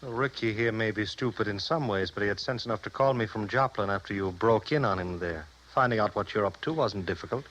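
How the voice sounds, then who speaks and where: A man's voice: lowish voice, a little fast, few pauses, fairly bright tone, lightly acted, low-quality recording. Man playing a cold, menacing American mob boss. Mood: menacing, smug. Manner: commanding, clipped, threatening. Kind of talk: 1950s radio noir villain acting.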